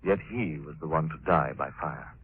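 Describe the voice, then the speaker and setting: An actor playing an assured crime-fighting gentleman hero in 1940s radio drama style, speaking in a measured, explanatory way. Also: very deep voice, very noisy. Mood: reassuring, grave. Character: assured male crime-fighting hero, wealthy man about town. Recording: tinny, narrow 1940s radio recording.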